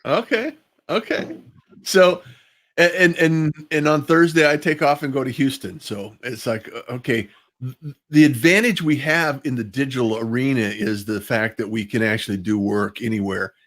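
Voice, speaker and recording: A man's voice: mid-range voice, moderate pace, a bit bright. Man hosting a casual online interview show. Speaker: host of a livestream interview show. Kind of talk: casual, chatty live interview. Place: at home, live online video call. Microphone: small personal microphone.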